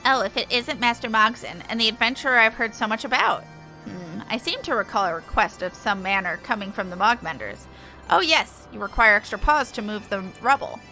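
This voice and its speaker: A woman's voice: on the high side, lively intonation, brisk, bright, forceful tone, soft background music, poor audio. A woman voicing a sly moogle foreman in a video game, with an affected, theatrical, mock-apologetic manner. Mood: feigned politeness. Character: sly moogle foreman feigning innocence and remorse.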